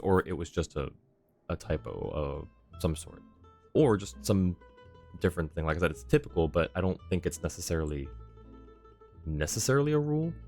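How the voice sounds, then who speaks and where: A man's voice: low-pitched, darkish tone. A man casually commentating on a game livestream, musing aloud and sometimes trailing off. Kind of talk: casual game stream commentary, reading dialogue choices aloud. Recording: game music behind the voice.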